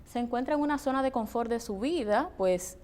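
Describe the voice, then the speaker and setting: A woman hosting a television interview, deliberately building up and repeating her questions. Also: on the high side, darkish tone. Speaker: interview host.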